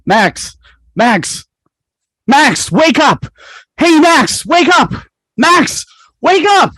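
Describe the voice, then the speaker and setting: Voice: high voice, very animated, drawn-out words, fairly bright tone, exaggerated voice, poor audio. A performer in an online table read playing an impatient, protective young man, urgent and brusque, over a video call. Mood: panicked. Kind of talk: fan table read acting.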